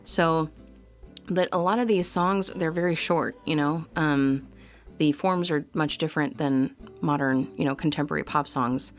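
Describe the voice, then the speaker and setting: A woman hosting a live singing stream, chatting casually with viewers between songs in quick, rambling bursts full of ums and likes. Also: low-pitched, steady tone, faint music behind. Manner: reads out viewer comments and answers them. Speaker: livestream singer and host.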